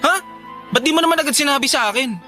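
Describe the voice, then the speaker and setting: A man's voice: very high voice, quick pace, loud music behind the voice. A man playing an earnest, suspicious young best friend in a Filipino radio drama, hesitant in manner. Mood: surprised.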